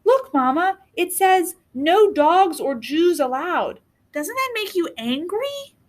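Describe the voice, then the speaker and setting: A woman's voice: very high voice, sing-song, slow pace, pitched above the performer's own voice, heavily acted. A woman reading the part of an earnest, strong-willed, bookish young girl in a storybook. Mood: upset.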